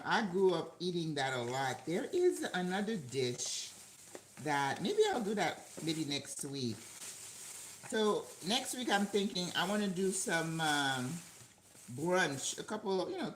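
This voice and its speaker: Older woman, low voice, chatty cooking host, roomy kitchen echo. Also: sing-song, measured pace. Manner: chatty, talks to viewers and laughs often. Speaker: channel host cooking live. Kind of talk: casual chat while cooking. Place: home kitchen. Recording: echoey kitchen with faint background noise.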